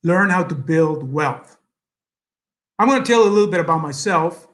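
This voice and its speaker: A middle-aged man from El Salvador with a Salvadoran Spanish accent, a native Spanish speaker giving an earnest speech at an event, with many pauses and fillers. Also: on the high side, lively intonation, fairly bright tone. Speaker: guest speaker.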